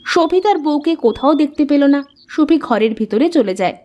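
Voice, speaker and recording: A woman's voice: sits high, lively intonation, a little fast, mellow, highs cut off. A woman reading scripted storybook narration as the narrator of a children's moral tale.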